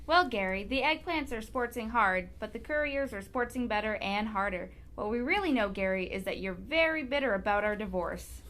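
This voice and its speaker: A woman playing a scornful, sharp-tongued divorced sportscaster in a comedic table reading, cutting and dismissive. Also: on the high side, animated, moderate pace. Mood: smug.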